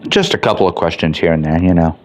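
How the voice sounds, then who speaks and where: A man's voice: deep voice, fast, faint music behind. A man giving casual, chatty, rambling commentary as he plays through a video game. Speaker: gaming video host and reviewer. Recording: faint background game noise. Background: video game ambience.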